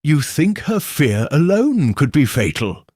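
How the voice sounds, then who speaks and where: A man voicing a mild, shrewd elderly woman sleuth in audiobook dialogue, soft and measured. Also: a little high, very animated, dry recording, clean studio sound, pitched above the performer's own voice. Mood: mild, probing.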